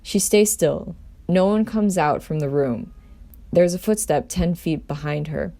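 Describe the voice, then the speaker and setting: A woman's voice: on the low side, unhurried, creaky, warm tone. A woman doing an amateur table read as storyteller, narrating a script in her own voice.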